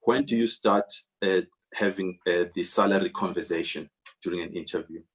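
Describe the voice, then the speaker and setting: A man's voice: sits low, normal speed, slightly rough. A man moderating an online panel, reading out questions hesitantly with many fillers.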